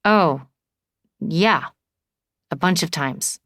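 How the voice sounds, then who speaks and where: A woman's voice: lowish voice, extremely slow, a bit bright, dry recording, clean studio sound. A woman voicing an easygoing, boyish young man, earnest and a little goofy, in audiobook dialogue.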